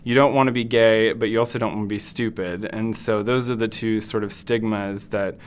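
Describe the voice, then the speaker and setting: A man's voice: on the low side, fairly even intonation, moderate pace, slight background noise. A man from West Virginia, reflective and slightly hesitant, speaking about himself. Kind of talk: personal testimony.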